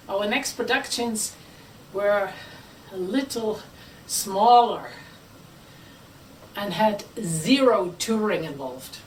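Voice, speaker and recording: Animated woman, slightly distant mic. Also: mid-range voice, a little hiss or hum. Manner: animated, playful. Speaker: theatre group member presenting its history. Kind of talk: chatty presentation to camera.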